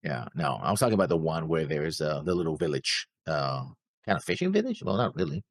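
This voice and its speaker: A man co-hosting a reaction show in casual banter, explaining himself in a halting, rambling way. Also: rumbling low, sing-song, no room sound, clean studio sound.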